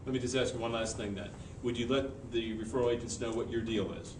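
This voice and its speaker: A man's voice: mid-range voice, fairly even intonation, fast talker, a little mellow. A man hosting a meeting and interviewing a guest, with brief encouraging remarks. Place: meeting room with an audience. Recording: echoey room, mic far off, noisy.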